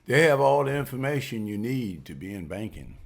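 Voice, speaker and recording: A man's voice: mid-range voice, brisk, vocal fry, a little hiss or hum. A man playing a folksy, genial businessman. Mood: friendly. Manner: folksy, chatty, lively. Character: genial older businessman, banker. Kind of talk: amateur table read.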